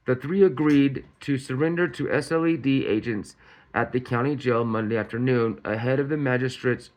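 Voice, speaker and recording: A man's voice: medium pitch, poor audio. Man reading news aloud, stumbling over words. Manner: stumbles over words while reading, repeating and correcting himself. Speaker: host of a commentary channel reading news. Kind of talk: reading a news report aloud with casual commentary.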